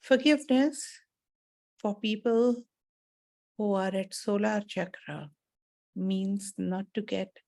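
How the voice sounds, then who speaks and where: A woman speaks as a meditation teacher, guiding listeners through a spiritual talk. Her delivery is very slow and measured, with deliberate gaps between short phrases. The tone is relaxed and mellow at a medium pitch, giving a soothing manner. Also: creaky.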